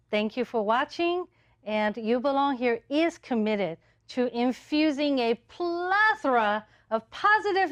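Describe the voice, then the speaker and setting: A woman hosts a talk show, encouraging her guests and affirming what they say. Her voice sits a little high. The sound is dry and close-miked. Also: dry recording. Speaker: host.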